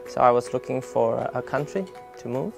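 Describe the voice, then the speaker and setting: A man's voice: mid-range voice, normal speed, creaky, music in the background. A man from Romania speaking in an unscripted testimonial, with a hesitation, about moving abroad for work. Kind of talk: testimonial interview.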